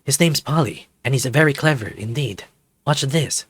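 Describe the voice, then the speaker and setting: A man's voice: mid-range voice, moderate pace, breathy edge, faint music behind, natural voice. A man voicing a proud, self-assured dragon lawyer in a visual novel game, in a composed manner. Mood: polite, proud. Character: suave, proud male dragon lawyer in a suit.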